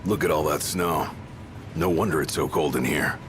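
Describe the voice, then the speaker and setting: A man playing a gruff, plain-spoken travelling companion in a video game, grumbling casually and dryly. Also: very deep voice, moderate pace, background noise. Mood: wary.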